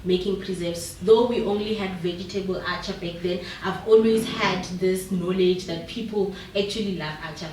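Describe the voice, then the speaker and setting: A woman's voice: fairly low pitch, moderate pace, hardly any pauses, slight background noise. A South African woman, a business founder, talking casually and confidently as an interview guest, mixing Zulu into her English. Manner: relaxed, self-assured, mixes Zulu with English. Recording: echoey room, mic far off.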